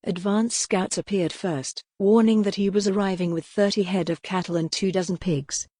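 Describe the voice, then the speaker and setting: A woman reading scripted historical romance as an audiobook narrator, measured and articulate. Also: mid-range voice, fairly even intonation, warm tone, slightly rough, no echo.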